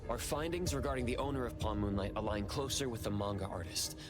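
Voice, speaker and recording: Man acting a measured, level-headed investigator. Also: medium pitch, natural voice. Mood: calm. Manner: measured, polite, formal. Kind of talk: anime game voice acting. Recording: loud music under the voice.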